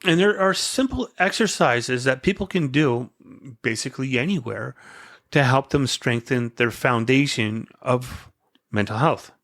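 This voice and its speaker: A man's voice: mid-range voice, measured pace, fairly bright tone. A man, a podcast guest, talking earnestly in a casual conversation, as if giving a short speech, with an occasional stutter. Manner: stutters at times.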